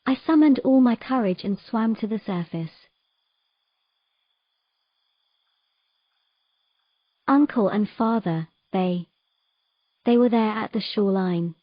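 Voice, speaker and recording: A woman playing a sorrowful young mermaid in an audio drama, telling of her past in a gentle, measured, halting way. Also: mid-range voice, no room sound, lo-fi sound, natural voice. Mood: grieving.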